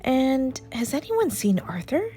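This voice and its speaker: A woman voicing an excitable, suspicious young boy camper in a children's story, with an emphatic childlike manner. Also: sits high, slow pace, faint music behind, voice pushed up, full character voice. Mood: pleased, puzzled.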